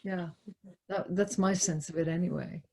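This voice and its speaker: A woman giving a poetry talk to a group, speaking in a halting, reflective way with repeated words and a husky voice. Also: lowish voice, fairly even intonation, a little mellow, slight background noise. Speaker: poetry lecturer leading a discussion.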